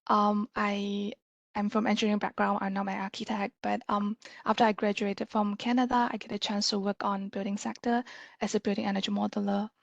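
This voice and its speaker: Malaysian woman lecturing casually, slightly creaky voice. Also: mid-range voice, little pitch movement, fairly quick, dry recording, lo-fi sound. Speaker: guest lecturer, sustainability consultant.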